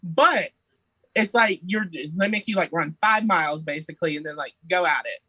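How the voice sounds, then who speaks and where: A man's voice: high voice, normal speed, pushed and bright, slightly rough, no echo. A young man, a singer, chatting playfully as a podcast guest and laughing often. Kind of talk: casual interview chat.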